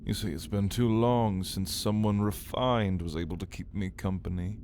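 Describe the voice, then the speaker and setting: A man playing a caged ancient vampire in an ASMR roleplay, refined and hungry, speaking in theatrical, menacing, archaic phrases with a breathy voice that often drops into a whisper. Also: on the low side, fairly even intonation, moderate pace, darkish tone, a little hiss or hum, close to an everyday voice. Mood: restrained, solemn. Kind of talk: ASMR roleplay monologue spoken to the listener.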